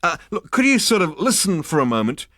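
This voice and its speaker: A man's voice: high voice, big pitch swings, brisk, fairly bright tone, no room sound, voice pushed up, full character voice. A man voicing a nervous young male space adventurer who stutters and hurries through his words. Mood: flustered.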